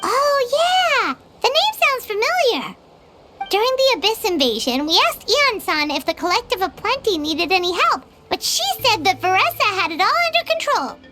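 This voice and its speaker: A woman voicing a tiny, excitable fairy-like companion in a game, talking in quick exclamations over loud game music. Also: very high-pitched. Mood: recalling. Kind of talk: game voice acting.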